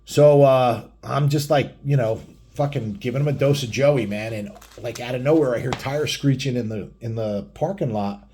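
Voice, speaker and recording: A man's voice: mid-range voice, normal speed, warm tone, a little hiss or hum. A middle-aged man telling a rambling personal story casually, with blunt, crude and heavily profane talk. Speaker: biker vlogger telling stories.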